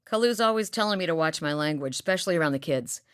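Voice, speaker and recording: Casting: low-voiced, blunt northern huntress for a game, deadpan, dry close-mic booth. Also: female voice, quick pace, clear, resonant tone, no echo. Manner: dry humor, matter-of-fact. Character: steady, blunt woman hunter from a far northern village. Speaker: game character voice lines. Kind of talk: studio game voice acting. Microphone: close studio mic.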